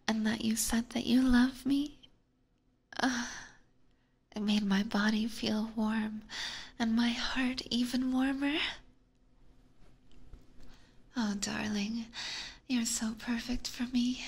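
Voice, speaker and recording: A woman voicing an adoring, possessive vampire catgirl with sweet menace, whispering slowly and tenderly in an ASMR roleplay. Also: mid-range voice. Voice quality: breathy whisper. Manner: slow, tender, coaxing.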